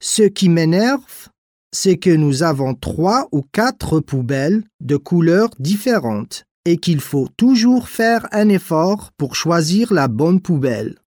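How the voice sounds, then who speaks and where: A man playing a young male student in a scripted language-exam dialogue, with a slow, measured delivery. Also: on the high side, very animated, darkish tone, no echo, clean studio sound, heavily acted. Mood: mildly annoyed. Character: young man student, not keen on recycling.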